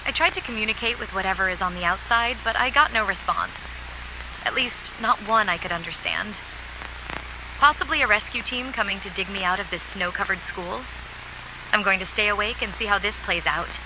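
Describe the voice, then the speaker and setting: A woman's voice: mid-range voice, normal speed, background noise, lightly acted. A woman playing a stranded survivor keeping an audio diary, speaking in a wry, reflective way. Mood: uneasy. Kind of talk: analog horror audio diary acting.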